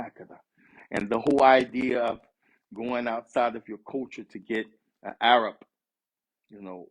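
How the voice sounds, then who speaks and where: A man's voice: on the low side, slightly rough, low-quality recording. A man in his fifties from the US East Coast, giving a conversational sermon with Arabic phrases mixed in. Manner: conversational, street-inflected preaching with Arabic phrases.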